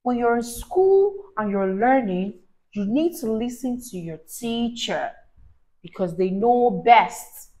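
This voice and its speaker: High-pitched, unhurried woman reading to children. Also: low-quality recording. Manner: expressive, encouraging, teacherly, prompting the listener with questions. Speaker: children's story reader and teacher. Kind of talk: animated read-aloud for a young child with explanations and questions. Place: small indoor room on a sofa. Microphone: lapel mic.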